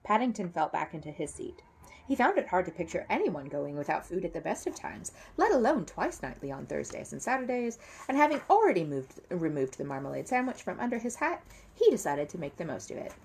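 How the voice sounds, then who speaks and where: Lively woman reading a children's story. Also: fairly low pitch, vocal fry, slightly rough. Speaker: storybook reader. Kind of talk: animated read-aloud narration of a children's story.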